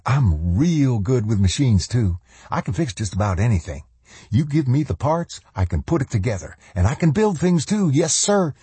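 A man's voice: medium pitch, big pitch swings, moderate pace, mellow, husky, no room sound, voice pushed up. A man voicing a cocky, wheedling soldier and mechanic with an insolent manner and a streak of menace, in an audiobook. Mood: eager, boastful. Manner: insolent, wheedling, drawling tag questions. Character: cocky noseless wasteland soldier, eager male mechanic with menace.

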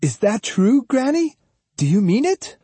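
Man playing a plucky, high-pitched young boy. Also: big pitch swings, unhurried, mellow, breathy edge, no echo, full character voice. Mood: doubtful, hopeful. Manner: earnest, defiant. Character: plucky, indignant young boy held captive, bargaining. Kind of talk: audiobook character voice.

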